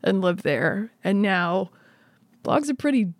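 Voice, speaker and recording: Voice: mid-range voice, lively intonation, takes it slowly, dry recording, clean studio sound. An interviewer putting questions in a conversational style.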